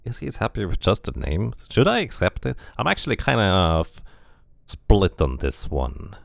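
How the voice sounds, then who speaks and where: A man's voice: deep voice, very animated, a little slow, gravelly, dry recording. A man streaming a fantasy game, chatting casually and thinking aloud to his viewers. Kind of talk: casual let's-play commentary.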